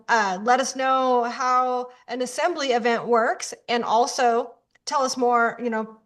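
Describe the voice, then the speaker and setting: A woman moderating a video-call meeting, introducing people in a friendly, brisk way. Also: fairly high pitch, a bit bright.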